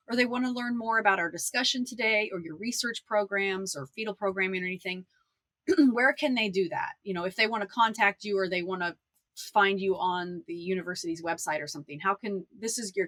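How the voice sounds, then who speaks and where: A woman's voice: mid-range voice, fairly bright tone, dry recording. A woman hosting a podcast interview, upbeat and affirming as she wraps up with her guest. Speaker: podcast host.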